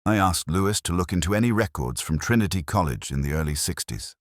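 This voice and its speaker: A man narrating a first-person detective story in a measured, brooding, literary way. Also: low voice, lively intonation, no echo, clean studio sound.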